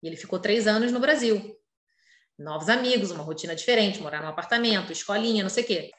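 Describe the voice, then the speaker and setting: Brazilian woman, middle-aged, quick pace, expressive personal storytelling, emphatic repetition, echoey room. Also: mid-range voice. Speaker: interview guest. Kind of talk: personal storytelling in a casual conversation.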